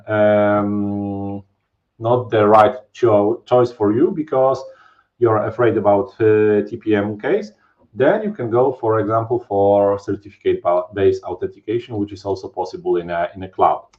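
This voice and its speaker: Low-voiced Polish man explaining tech. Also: normal speed. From Poland. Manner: explains casually, many fillers and "right?" tags. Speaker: presenter. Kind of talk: live technical webinar talk. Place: home office, indoors. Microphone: webcam video call. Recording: dull, lo-fi stream audio.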